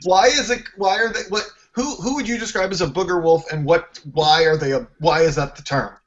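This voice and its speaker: Podcast host guy, kinda high voice, jokey and self-deprecating, muffled lo-fi audio. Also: fairly quick. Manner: joking, self-deprecating, asks lots of questions. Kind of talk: casual chat.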